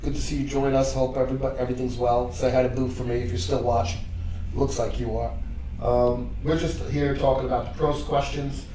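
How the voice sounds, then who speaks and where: A man's voice: medium pitch, level tone, a little fast, mellow. A man co-hosting a podcast, telling laughing, rambling stories in casual chat. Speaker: podcast co-host. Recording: echoey room from far off, noisy.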